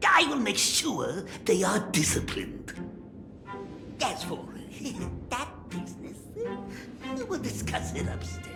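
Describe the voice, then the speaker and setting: A woman's voice: medium pitch, huge pitch swings, slow pace, vocal fry, reverberant, loud music behind the voice, exaggerated voice. A woman voicing a boisterous, commanding gang boss in a video game, brash and theatrical. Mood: proud, hearty.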